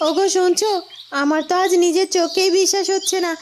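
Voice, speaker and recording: A woman's voice: very high-pitched, steady tone, fast, background noise. A woman playing a humble, grateful village wife in a cartoon, earnest and wide-eyed. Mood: amazed. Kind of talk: cartoon fairy-tale dialogue. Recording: music and birdsong behind.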